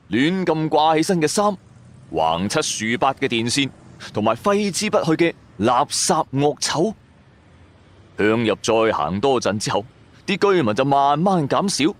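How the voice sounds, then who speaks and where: Animated male storyteller with swooping intonation. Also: mid-range voice. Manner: colloquial, suspenseful storytelling. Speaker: storyteller and narrator. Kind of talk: dramatic scripted first-person narration.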